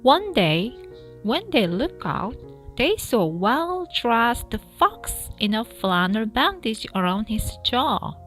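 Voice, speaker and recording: A woman narrating a children's storybook aloud in an expressive style, with music behind her. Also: on the high side, takes it slowly. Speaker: storybook reader. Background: background music.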